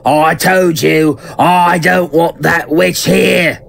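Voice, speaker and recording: A man's voice: on the high side, steady tone, slow pace, gravelly, a bit bright, full character voice. A man playing the abusive, domineering ghost of a dead boyfriend in an audio drama, irritable and bellowing. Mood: irritated.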